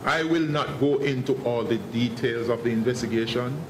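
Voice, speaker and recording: A man speaking as a senior police investigator at a press briefing, guarded and formal, often halting and repeating words. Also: mid-range voice, echoey room. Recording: noisy online press briefing audio.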